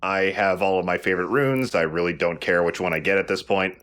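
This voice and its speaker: A man's voice: deep voice, fairly even intonation, a little fast, fairly bright tone. A man giving casual, conversational video game commentary as a let's play host, explaining as he goes. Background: game music.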